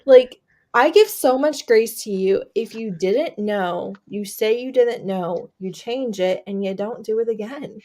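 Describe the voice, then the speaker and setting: A woman's voice: on the high side, a little slow, a little mellow. Emphatic woman in casual conversation. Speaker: book vlogger and co-host. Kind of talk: casual chat.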